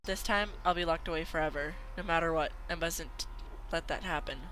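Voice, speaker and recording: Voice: medium pitch, brisk, bright, forceful tone, a little hiss or hum, low-quality recording. An amateur video game player reading a visual novel aloud dramatically, sometimes stumbling and repeating words.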